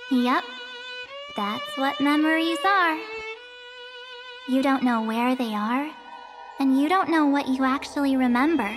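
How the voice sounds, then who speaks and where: A woman voicing a gentle, enigmatic young female keeper of memories in a video game, measured and softly assured. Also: high voice, animated, music in the background. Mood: calm, earnest. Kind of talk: video game character dialogue.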